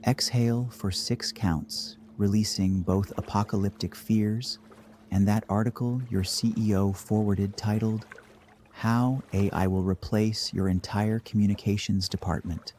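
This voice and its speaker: A man narrating a scripted guided meditation in a measured, dry deadpan manner. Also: on the low side, a little hiss or hum.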